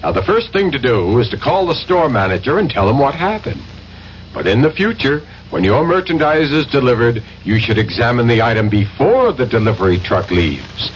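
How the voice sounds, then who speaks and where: An actor plays a bureau man who gives officious, helpful advice in a scripted radio spot. His intonation is lively. The recording is dull, with slight noise. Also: medium pitch, normal speed, natural voice. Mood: helpful, instructive. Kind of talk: scripted radio public-service ad. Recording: muffled old radio spot, faint noise.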